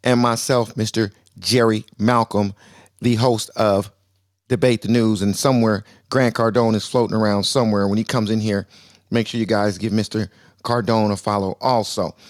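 A man's voice: lowish voice, steady tone, moderate pace, dry recording, clean studio sound. A man hosting a casual live call-in discussion, playful and self-mocking, laughing easily as he passes the mic to callers. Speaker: host. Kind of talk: casual live audio-room chat.

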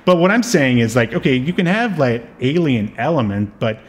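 A man's voice: mid-range voice, expressive, moderate pace. A man co-hosting a podcast in casual, unscripted chat, thinking aloud in an informal, rambling way. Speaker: podcast co-host.

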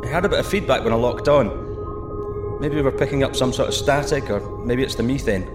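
Plucky astronaut over atmospheric music. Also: male voice, mid-range voice, fast, roomy sound. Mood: breezy, reassuring. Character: plucky, excitable male astronaut piloting a deep-sea microsub. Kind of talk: sci-fi audio drama acting.

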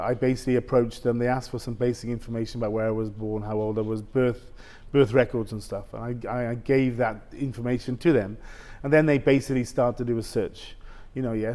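A man in his fifties, a guest telling a personal story in casual conversation, halting and full of restarts. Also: medium pitch, a little mellow. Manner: halting, with many restarts and "basically". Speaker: interview guest.

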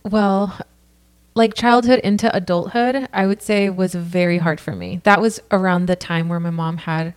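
A woman's voice: on the low side, fairly even intonation, moderate pace. A woman from California, a podcast guest telling her personal story in an earnest, casual way, full of "like" and "you know.".

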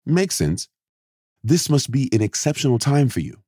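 A man voicing a thoughtful, reassuring scientist who speaks in a measured, steady way. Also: medium pitch, no echo, studio quality, voice pushed up. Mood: calm.

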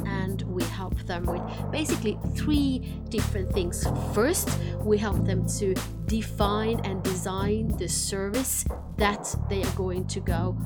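A woman from Finland with a Finnish-Swedish accent, hosting a solo business podcast and coaching listeners directly, stressing points by repeating words. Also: mid-range voice. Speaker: podcast host and business consultant.

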